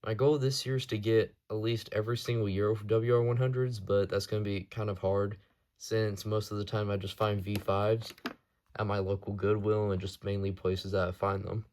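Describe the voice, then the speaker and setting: Low-pitched man, casual show-and-tell. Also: flat delivery, normal speed, a little mellow. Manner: matter-of-fact, informal, unscripted. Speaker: hobbyist collector presenting a video.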